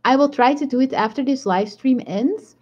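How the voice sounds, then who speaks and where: A woman's voice: high-pitched, moderate pace, a little mellow, slightly rough. A woman with a strong Belgian accent chatting casually on a live stream, rambling and laughing often as she answers viewer comments. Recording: dull lo-fi stream audio.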